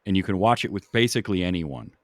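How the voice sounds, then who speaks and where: A man with a low voice hosts a casual film podcast. He rambles along with enthusiasm, and the sound is close and dry. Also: expressive, quick pace, dry recording. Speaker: podcast host.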